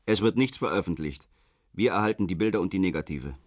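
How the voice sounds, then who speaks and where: A man playing a government official in a radio crime drama, politely insinuating threats in a measured way. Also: deep voice, lively intonation, no room sound, studio quality. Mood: cool bargaining. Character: smooth, veiledly threatening government official bargaining with prisoner.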